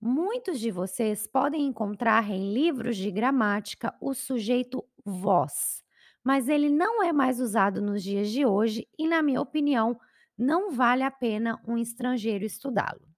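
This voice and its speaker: A woman's voice: fairly high pitch, expressive, moderate pace. A Brazilian woman with a Brazilian Portuguese accent teaching grammar, carefully enunciating each conjugation. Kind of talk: slow, clear grammar lesson.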